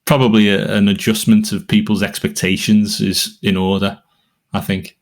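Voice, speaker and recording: Brief: Liverpool man, low voice, songwriter musing loosely on craft. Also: level tone, normal speed. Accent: Liverpool English. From England. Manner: thoughtful, rambling, with fillers and restarts. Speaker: songwriter and podcast co-host. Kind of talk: conversational interview answers.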